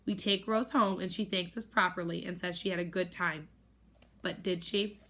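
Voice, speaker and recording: Woman reading a chapter book aloud. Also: moderate pace. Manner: expressive, raises pitch for girls' voices. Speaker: teacher reading aloud to students. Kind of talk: storybook read-aloud with character voices.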